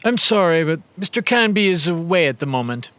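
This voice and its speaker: A man's voice: on the high side, sing-song, brisk, fairly bright tone, no room sound. An actor playing a polite, guarded footman at a wealthy house in an audio drama, speaking in a formal, courteous way. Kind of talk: scripted audio drama dialogue.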